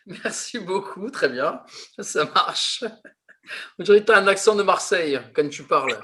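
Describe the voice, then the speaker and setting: Slow-talking, laughing improv teacher man. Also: high-pitched, animated, pushed and bright. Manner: slow, laughing, filler-heavy teasing. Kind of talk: coaching a teen improv class.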